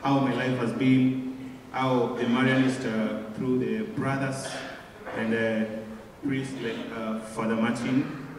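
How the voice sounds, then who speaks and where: A man's voice: medium pitch, level tone, background noise. Kenyan man speaking far off in an echoey hall. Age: 20s. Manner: hesitant, frequent filler pauses. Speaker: guest speaker. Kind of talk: personal testimony speech.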